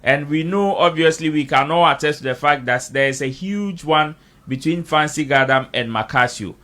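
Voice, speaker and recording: Bright, forceful male interviewer. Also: on the high side, moderate pace. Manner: rephrases questions, murmurs acknowledgements. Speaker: interviewer, host. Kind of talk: radio interview questioning.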